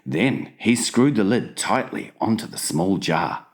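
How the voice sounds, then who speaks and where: A man's voice: lowish voice, sing-song, measured pace. A man narrating a children's storybook as a dramatized, scripted audiobook reading.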